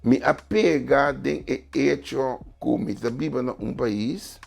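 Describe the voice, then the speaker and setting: A man's voice: mid-range voice, slow, vocal fry, a little mellow. A man appearing as a writer and guest on a talk show, arguing his points emphatically. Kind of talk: studio talk show discussion.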